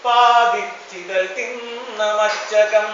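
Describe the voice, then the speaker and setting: A man recites poetry melodically, in an impassioned manner with drawn-out phrasing. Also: high-pitched, steady tone, a bit bright. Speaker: poet. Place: small gathering at a function. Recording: echoey, distant, dull, faintly noisy event recording.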